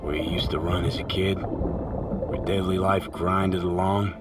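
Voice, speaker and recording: Low-voiced laconic mercenary man, music behind. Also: level tone, measured pace. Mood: interested. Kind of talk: video game dialogue acting. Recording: loud music and watery game sounds behind.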